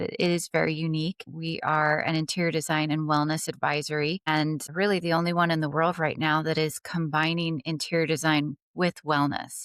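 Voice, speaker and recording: Woman, 40s, interview guest, low voice, dry sound, earnest and fluent. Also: fairly bright tone, smooth, dry recording. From Dubai. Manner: earnest, fluent storytelling with occasional restarts. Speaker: podcast guest. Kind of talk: conversational interview answers.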